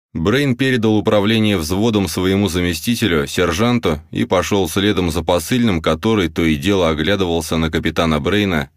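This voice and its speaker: A man's voice: low-pitched, no echo. A man narrating a novel as a scripted audiobook, close to the microphone.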